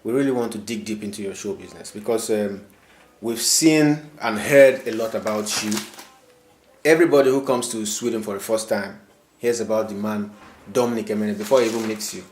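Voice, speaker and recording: A man's voice: fairly low pitch, fairly quick, a little hiss or hum. A man hosting an interview, asking questions in formal, flowery phrasing. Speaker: interviewer, host. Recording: echoey room.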